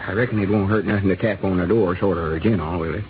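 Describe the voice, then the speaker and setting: An actor playing a kindly old Arkansas country codger with a folksy rural Southern drawl in a 1930s radio drama. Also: male voice, deep voice, fast talker, lightly acted. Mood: hushed, concerned. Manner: folksy, plain-spoken rustic drawl. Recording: noisy, muffled 1930s radio recording.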